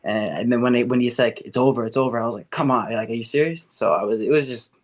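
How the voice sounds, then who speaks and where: A young man talks on a podcast in an eager, rambling way. His pitch sits in the middle range, and he fills his sentences with "um," "you know" and restarts as he tells his story. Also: quick pace. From America, Ecuadorian and Puerto Rican family. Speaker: amateur MMA fighter, guest. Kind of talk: casual podcast interview.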